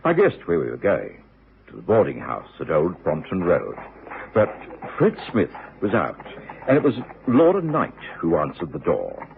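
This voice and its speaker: Earnest British doctor, gravelly old radio. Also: male voice, low voice, huge pitch swings, normal speed, exaggerated voice. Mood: earnest storytelling. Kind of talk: 1950s-60s radio drama acting and narration. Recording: muffled, noisy old radio recording.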